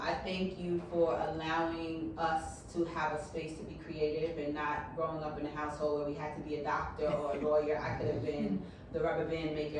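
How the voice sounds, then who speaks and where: A woman's voice: low voice, noisy background. A woman moderating a panel, speaking in an encouraging, preacher-like cadence. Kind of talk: panel discussion. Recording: echoey room, mic from a distance.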